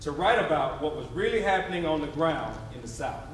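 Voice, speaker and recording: A man's voice: fairly high pitch, lively intonation, a little fast, a crowd in the background. In a heavily echoing hall, away from the mic, a man declaims in a raised voice. He narrates a theatre piece emphatically, like a preacher working a call and response. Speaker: stage narrator. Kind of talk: dramatic readers theatre oration. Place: theatre stage before a responding audience. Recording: very echoey live hall, distant mic.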